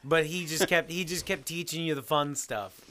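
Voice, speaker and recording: A man hosting a casual family conversation as interviewer, enthusiastic and quick to interject. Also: a little high, steady tone, a crowd in the background. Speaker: host interviewing older relatives.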